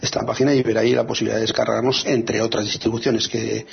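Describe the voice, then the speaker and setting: Gravelly, husky man on lo-fi radio. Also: medium pitch, flat delivery, quick pace. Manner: hesitant, many fillers and restarts. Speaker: radio co-host presenting free software. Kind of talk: casual radio talk.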